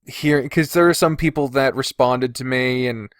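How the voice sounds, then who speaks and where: A man's voice: medium pitch, fairly even intonation, normal speed, fairly bright tone, dry recording, clean studio sound. A man hosting a podcast, giving blunt, casual opinions with plenty of swearing and exasperated emphasis. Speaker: podcast host.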